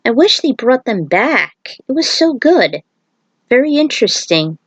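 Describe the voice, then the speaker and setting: A woman's voice: fairly high pitch, sing-song, measured pace, breathy edge, no room sound. A woman reading her own fan fiction aloud, breaking into chatty, casual asides about it. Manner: chatty, self-commenting asides.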